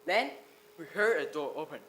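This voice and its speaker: A woman's voice: low voice, expressive, moderate pace, fairly bright tone, low-quality recording. A female performer telling a story theatrically in a readers theater style. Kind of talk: dramatic readers theater narration.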